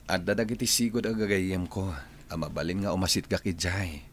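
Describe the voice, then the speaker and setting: A man's voice: sits low, a little fast. A man with an Ilocano accent playing a respectful, earnest grown village son in a radio drama. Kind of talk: Filipino radio drama acting.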